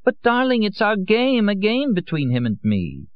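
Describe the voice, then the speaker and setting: A man's voice: high-pitched, wildly swooping pitch, normal speed, dull audio, pitched above the performer's own voice. A man voicing a drunk, theatrical young woman who drawls coyly and stumbles over her words. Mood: drunken coy. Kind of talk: audiobook character voice.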